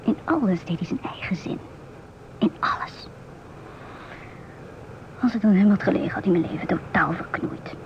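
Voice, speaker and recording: An actress playing a musing, independent stewardess in her twenties in a radio drama, speaking in a hushed, often near-whispered voice. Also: medium pitch, lively intonation, normal speed, pauses often, close to an everyday voice. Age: 20s. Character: musing, independent 27-year-old divorced ship stewardess. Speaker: narrator and stewardess. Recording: muffled old radio recording, clear hiss.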